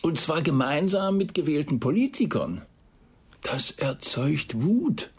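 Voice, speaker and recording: Husky, condescending police chief. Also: male voice, on the high side, very animated, a little slow, heavily acted. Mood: lecturing, condescending. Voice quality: husky, gravelly. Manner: condescending, lecturing, rhetorical. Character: patronising male police chief, controlled and cutting. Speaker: head of a police investigation unit. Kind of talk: radio crime drama acting.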